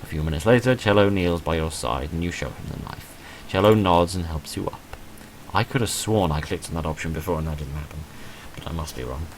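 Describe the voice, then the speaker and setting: A man with a low voice talks through a video game as a casual let's-player. His delivery is measured and understated, with a mildly hedging way of putting things. There is faint noise behind the voice. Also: rough recording. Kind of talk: casual game playthrough commentary, reading text aloud and reviewing.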